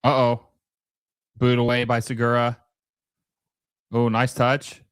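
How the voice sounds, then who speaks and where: A man's voice: mid-range voice, completely flat delivery, slow pace, clean tone, dry recording. Man casually calling soccer, close dry sound. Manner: casual fan-like reactions in short, clipped play-by-play phrases. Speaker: host of a live soccer watch-along. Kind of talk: casual live match commentary.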